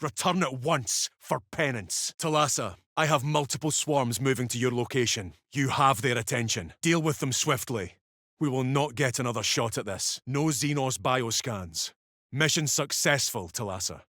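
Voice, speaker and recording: A man's voice: medium pitch, normal speed, fairly bright tone, dry recording, close to an everyday voice. Man acting a stern space-soldier captain, dry studio sound. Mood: stern, formal. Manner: clipped, formal, authoritative, grave. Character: stern, commanding male military captain of armored space soldiers. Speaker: mission briefing officer. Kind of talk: scripted video game voice acting.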